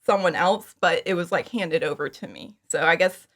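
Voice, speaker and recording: Woman, a lower-toned voice, informal storyteller as podcast interview guest. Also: moderate pace. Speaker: interview guest, fan audio drama creator. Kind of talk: casual chat, telling how a project came about.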